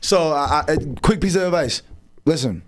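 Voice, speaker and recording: A man co-hosting a casual podcast, his voice raspy, talking in animated slang full of "you know what I'm saying". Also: a little high, normal speed. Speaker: podcast co-host. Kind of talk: casual chat.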